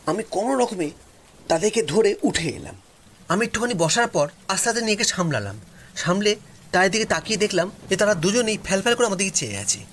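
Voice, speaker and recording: A man narrating a first-person story in a dramatic, suspenseful way with expressive, drawn-out pauses. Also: high voice, slight background noise.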